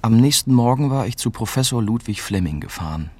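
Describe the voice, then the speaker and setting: An actor playing a young, unsure newspaper reporter who narrates and talks in a radio drama. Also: male voice, medium pitch, animated, brisk, close to an everyday voice. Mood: sober narrating. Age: young adult. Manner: hesitant in dialogue, sober in narration.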